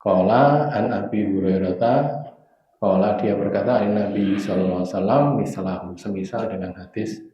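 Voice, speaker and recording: A hoarse man giving a religious study lesson, explaining narrator chains in a measured, teacherly way. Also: fairly low pitch, warm tone. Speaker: hadith teacher. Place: mosque study room. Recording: echoey room, dull lo-fi sound.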